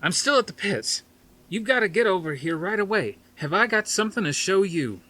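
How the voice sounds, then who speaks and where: Man voicing an excitable, high-pitched research colleague. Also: a little fast, slight background noise, exaggerated voice, low-quality recording. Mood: excited, shouting. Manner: loud, eager, emphatic delivery. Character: excitable, insistent male researcher colleague, smug and exasperated.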